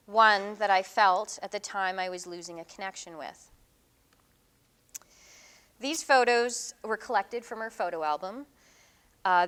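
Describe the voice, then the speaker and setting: A middle-aged woman presents her own artwork in a relaxed lecture. Her voice is smooth and clear, sitting at an ordinary pitch. She talks in a thoughtful, casual way, often pausing with ums and restarting her sentences. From Canada. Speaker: visiting artist giving a lecture. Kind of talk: artist talk presenting her work.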